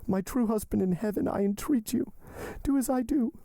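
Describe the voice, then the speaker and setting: A tearful young woman who pleads and implores, her voice lifted high with a soft, dark mellowness underneath, as a male audiobook reader puts her on. It is close and dry, with no room around it. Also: moderate pace, no room sound. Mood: imploring. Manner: trembling, imploring delivery. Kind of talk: audiobook character voice.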